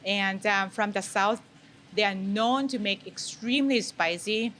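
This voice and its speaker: A woman's voice: mid-range voice, steady tone, unhurried, a bit bright. A woman from Thailand with a Thai accent, chatting casually and candidly as an interview guest. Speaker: interview guest, food maker. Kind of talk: casual chat. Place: indoor farm among herbs and flowers. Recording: clear background noise.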